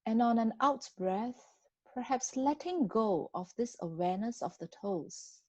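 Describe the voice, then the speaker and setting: A woman guiding a body scan meditation in a gentle, unhurried manner with long pauses between phrases. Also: on the high side, lively intonation, no room sound. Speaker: meditation guide.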